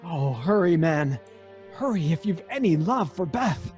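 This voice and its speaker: Pleading, worried male friend, radio melodrama, emphatic, animated pitch, measured halting pace, husky. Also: soft background music. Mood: urgent pleading. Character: anxious, pleading male friend urging caution. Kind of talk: old time radio melodrama acting.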